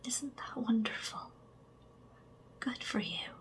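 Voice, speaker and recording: A woman whispering slow, soft ASMR affirmations straight to the listener, with chatty asides and a gentle sense of humor. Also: on the high side. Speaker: ASMR creator.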